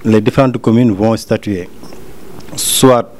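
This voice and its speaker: A man's voice: fairly low pitch, slow, darkish tone, slight background noise. A man with a Senegalese French accent giving a formal, explanatory statement as an agency director in a meeting room. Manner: explanatory, official phrasing.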